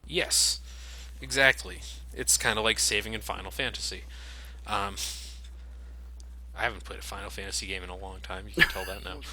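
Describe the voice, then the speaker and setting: A man with a lowish voice commentates on a game he plays. He is casual and wry, talking over noticeable background noise. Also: steady tone, moderate pace. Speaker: let's play commentator. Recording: noisy game sound under commentary.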